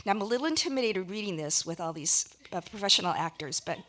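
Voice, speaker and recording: A woman giving a public speech as a contest judge at a live awards ceremony, talking conversationally with hesitant pauses. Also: medium pitch, lively intonation, fairly bright tone. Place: live event before an audience.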